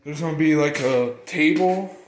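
A man's voice: on the high side, normal speed. A man talking casually and informally as he gives a walking house tour, often hesitating and repeating himself. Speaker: vlogger giving a house tour. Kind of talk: casual chat while walking around. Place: house under construction and its muddy yard.

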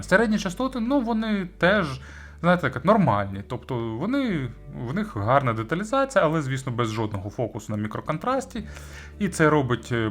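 A man's voice: medium pitch, a bit bright. A man giving a conversational product review as host, searching for words and adding informal asides. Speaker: audio gear reviewer and host.

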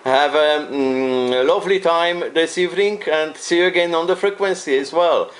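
A man with a Polish accent chats as an amateur radio operator, friendly and polite, pausing and repeating phrases as he goes. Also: fairly high pitch. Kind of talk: casual amateur radio contact.

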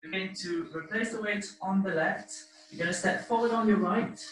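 A man with a high, bright voice leads a dance class step by step. He takes his time, encouraging people as he goes. The sound is roomy and distant, as from a microphone across a living room. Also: normal speed. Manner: encouraging, hesitant between instructions. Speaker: dance teacher. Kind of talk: step-by-step live dance instruction with counting. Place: living room at home, indoors. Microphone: laptop or webcam mic across the room.

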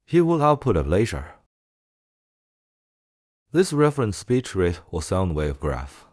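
A man presenting a software tutorial in a casual way. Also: deep voice, big pitch swings, measured pace, dry recording, studio quality.

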